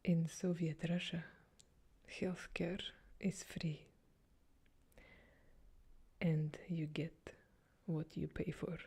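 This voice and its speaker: A woman softly whispering a string of jokes as a calm ASMR reading. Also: low-pitched, very slow, pauses often, slightly rough. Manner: soft whisper throughout. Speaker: joke reader.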